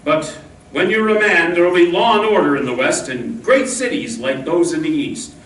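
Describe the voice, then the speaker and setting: A woman playing a noble masked male Ranger in an old-time radio Western, speaking earnestly in measured declamation. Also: fairly low pitch, lively intonation, slight background noise, close to an everyday voice. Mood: earnest, resolute. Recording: roomy distant stage pickup with music.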